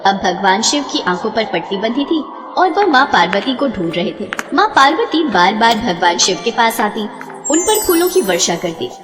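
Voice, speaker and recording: A woman's voice: fairly high pitch, big pitch swings, moderate pace, in a large hall, music in the background. A woman reading scripted narration for a devotional story.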